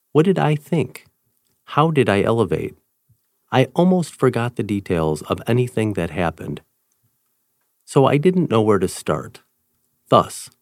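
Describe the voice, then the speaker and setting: A man with a fairly low voice reads a first-person article aloud from a script. His delivery is measured and even. The sound is close and dry, with no room around it. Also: no echo. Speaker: reader.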